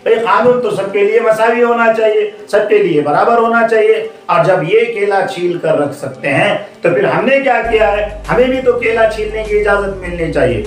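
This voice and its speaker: A man's voice: sits high, normal speed, hardly any pauses, echoey room, recorded from a distance, voice pushed up, lightly acted. Man as an indignant protesting monkey, voice raised. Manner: protesting, insistent. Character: indignant grown monkeys of the troop demanding equal rights.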